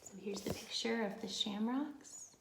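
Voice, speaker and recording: Higher-voiced woman reading aloud in an echoey room. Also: measured pace, vocal fry, noisy background. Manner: simple, encouraging asides to child listeners. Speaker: teacher reading a children's picture book aloud. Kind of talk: read-aloud for young children with informal asides.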